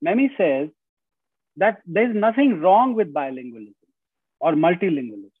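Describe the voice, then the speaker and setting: A man's voice: on the high side, sing-song, moderate pace, breathy edge, no room sound. A middle-aged man with an Indian accent, giving an earnest lecture over a video call from home, often saying "you know" and restarting phrases. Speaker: guest speaker. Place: home room, indoors. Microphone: video call with earbuds. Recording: dry, tinny video call audio.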